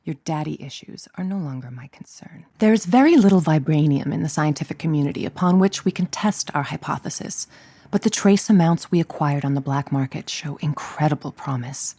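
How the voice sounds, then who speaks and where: A woman's voice: low voice, lively intonation, brisk, warm tone, dry recording. An actress playing a cold, calculating female villain scientist in a video game, speaking with clipped, precise authority. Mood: icy displeasure. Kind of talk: dramatic video game voice acting.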